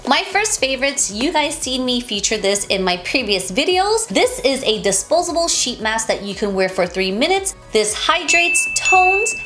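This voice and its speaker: A young woman with a higher voice reviews her monthly beauty favorites in a chatty, upbeat way. She sounds nasal and stuffed up from allergies, and faint music plays behind her. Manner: chatty, upbeat, repeats and restarts phrases. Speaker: beauty vlogger. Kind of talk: casual product review to camera.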